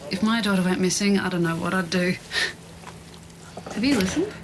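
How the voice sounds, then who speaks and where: An actress playing a sympathetic woman restaurant worker, hesitant and gently reproving, in a naturalistic audio drama. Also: medium pitch, moderate pace, background noise, lightly acted.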